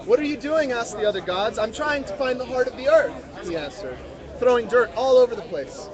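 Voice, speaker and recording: A man's voice: very high voice, lively intonation, fairly quick, muffled recording. A man reading a story aloud to a live group, in an interactive storytelling style. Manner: prompts listeners to fill in words. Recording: outdoor live mobile stream, music and crowd. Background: music, crowd chatter, street sounds.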